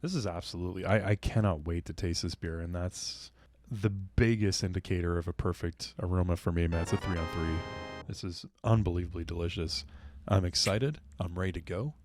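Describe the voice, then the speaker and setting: A man's voice: deep voice, fairly quick, few pauses, darkish tone, no echo, faint music behind. A man co-hosting a casual beer tasting, raving enthusiastically about what he smells and tastes. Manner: enthusiastic, effusive. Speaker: beer reviewer, co-host.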